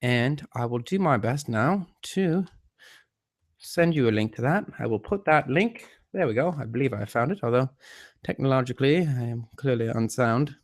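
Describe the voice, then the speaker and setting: Theatrical male livestreamer with put-on English accent. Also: medium pitch. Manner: self-deprecating, theatrical, mock-formal. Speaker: livestream host. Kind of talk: rambling live chat to viewers.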